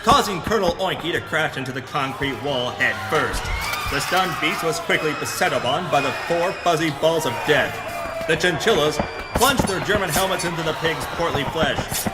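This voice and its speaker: A man's voice: fairly high pitch, moderate pace, in a large hall, loud music behind the voice, a loud crowd, close to an everyday voice. A man playing a hardboiled, melodramatic pulp detective who narrates his adventure in a noir parody audio drama. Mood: dramatic.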